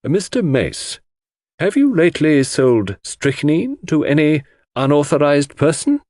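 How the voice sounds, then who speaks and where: Man playing a formal, probing coroner, raised pitch. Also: sing-song, darkish tone, dry recording, studio quality. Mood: stern. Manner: formal, measured questioning. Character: probing, courteous male coroner, stern and official. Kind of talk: audiobook character dialogue.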